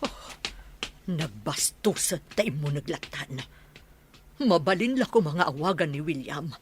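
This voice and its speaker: Bossy stubborn lady caretaker, lowish voice, sharp tongue, ilocano radio drama. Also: lively intonation, unhurried, background noise. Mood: defiant, indignant. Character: scolding, stubborn woman house caretaker.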